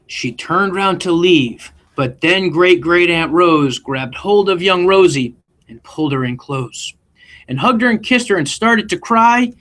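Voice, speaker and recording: Male principal, slightly high voice, expressive picture-book read-aloud with friendly questions to children. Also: a little slow. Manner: expressive, engaging listeners with questions. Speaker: school principal reading aloud.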